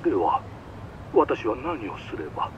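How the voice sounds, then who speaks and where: An actor playing a deferential, formal monk on a phone call in a video game, calm and polite. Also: male voice, lowish voice, a little slow, noisy background. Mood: formal, concerned. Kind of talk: video game drama acting.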